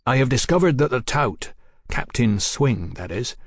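A man voicing a forceful, resolute gentleman scholar in an audiobook, with formal, measured and emphatic speech. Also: medium pitch, very animated, breathy edge, no room sound, clean studio sound. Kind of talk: audiobook character acting.

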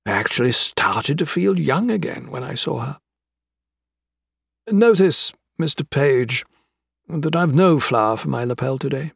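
A man voicing an older gentleman villain in an audiobook, with florid, mock-courteous, taunting speech. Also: medium pitch, animated, measured pace, creaky, husky, no room sound, clean studio sound, pitched above the performer's own voice, exaggerated voice. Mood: wistful, sorrowful. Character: menacing, theatrical old gentleman crime boss, mocking and sentimental. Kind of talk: dramatic audiobook character acting.

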